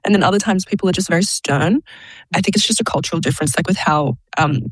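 A woman's voice: on the low side, quick pace, slightly rough, dry recording. A young Australian woman, an international student, chatting in an interview, laughing often and filling her talk with "like" and "um". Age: young adult. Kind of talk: casual interview.

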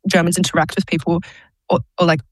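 A woman's voice: fairly low pitch, flat delivery, quick pace, no echo. A young Australian woman, an international student, chatting in an interview, laughing often and filling her talk with "like" and "um". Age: young adult. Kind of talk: casual interview.

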